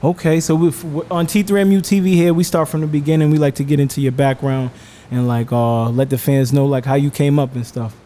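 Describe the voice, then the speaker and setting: High-voiced upbeat male podcast host. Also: flat delivery, fairly quick, mellow, slight background noise. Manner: upbeat, hypes the guest, frequent affirmations. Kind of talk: casual interview chat.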